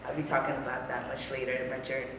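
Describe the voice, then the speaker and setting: A woman's voice: extremely low pitch, monotone, quick pace, very echoey, long reverb tail, very noisy. A woman in her thirties, born in Kenya, chatting as an interview guest, laughing often and trailing off with fillers. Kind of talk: casual interview chat.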